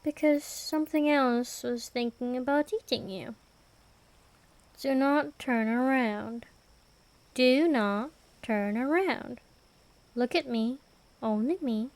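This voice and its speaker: Woman playing a slow, high-voiced vampire queen. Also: darkish tone, no room sound. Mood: menacing, amused, then urgent, commanding. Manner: slow, deliberate, teasing, imperious. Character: ancient female vampire queen, predatory, commanding, possessively protective. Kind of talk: intimate ASMR roleplay.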